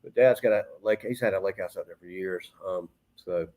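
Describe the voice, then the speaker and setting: A man's voice: low-pitched, a little fast, a little mellow. A man chatting casually and informally as host of a sports talk show, rambling as he goes. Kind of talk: casual chat.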